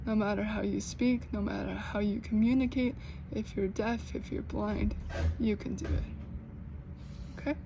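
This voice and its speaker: A young American woman who stutters, with repeated sounds and blocks, talking slowly and earnestly in a personal vlog. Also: mid-range voice, steady tone, gravelly, noisy background. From United States. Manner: slow, earnest, gently encouraging. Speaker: vlogger.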